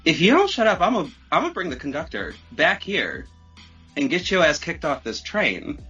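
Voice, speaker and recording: A man with a highish, very lively voice tells an anecdote in casual, sweary podcast talk, acting out quotes as he goes. His delivery is sharp and slightly husky, on a somewhat dull recording. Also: moderate pace, soft background music. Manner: expressive, acts out quoted remarks. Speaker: podcast speaker. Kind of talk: animated casual storytelling with swearing.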